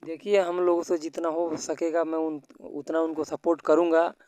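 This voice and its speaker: A man's voice: a little high, flat delivery, normal speed, darkish tone, clean tone, no echo. A man speaking on an informal recorded phone call, explaining things politely and at length. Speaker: YouTube news creator.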